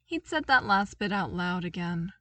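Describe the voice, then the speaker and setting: A woman reading a scripted story aloud as an expressive narrator. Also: on the low side, moderate pace, no room sound, distant mic. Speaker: fan fiction reader.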